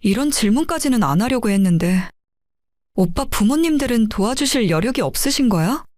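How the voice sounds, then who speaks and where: A woman's voice: a little high, animated, brisk, a little mellow, no echo, studio quality, natural voice. A woman playing a shy young bride-to-be who questions and pleads with her boyfriend. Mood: worried. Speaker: narrator's younger self in dialogue. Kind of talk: audio drama acting.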